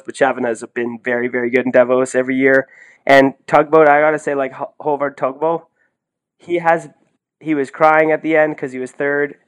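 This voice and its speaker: Excitable man chatting about sport. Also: medium pitch. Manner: excitable, effusive, slangy. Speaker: sports podcast co-host. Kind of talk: casual sports commentary chat.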